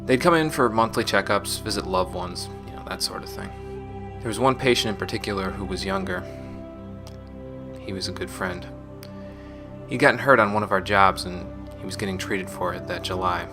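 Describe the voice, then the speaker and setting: A man playing a resolute, guilt-burdened space marine, recording a measured, solemn confessional audio log. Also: low voice, natural voice. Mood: somber, reminiscing. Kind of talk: scripted sci-fi audio log monologue. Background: music.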